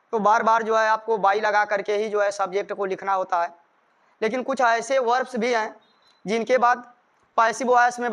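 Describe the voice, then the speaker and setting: A man's voice: high voice, fairly bright tone, clean tone. A man with an Indian accent giving a grammar lesson as a teacher, explaining rules step by step and repeating them.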